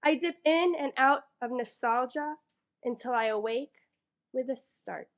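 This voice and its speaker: A woman from New York with a somewhat high voice reads her poems at a microphone before a library audience. Between pieces she sounds a little nervous and fills gaps with ums, then settles into a measured reading. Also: slight background noise. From New York, United States. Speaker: featured poet. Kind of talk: reading her own poetry live. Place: public library event room. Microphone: microphone in front of a live audience.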